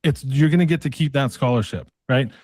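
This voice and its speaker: A man's voice: mid-range voice, brisk, a little mellow, dry recording. Persistent male sales coach, dry close sound. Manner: pushy, persistent, uses tag questions; casual, colloquial phrasing. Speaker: sales coach demonstrating a mock pitch. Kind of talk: sales call role-play pitch.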